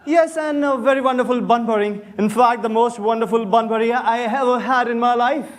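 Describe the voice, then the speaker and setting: Man acting very high-voiced flippant dandy. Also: a little fast. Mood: smug, breezy. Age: young adult. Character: breezy, unrepentant young Victorian dandy. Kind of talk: amateur stage play performance.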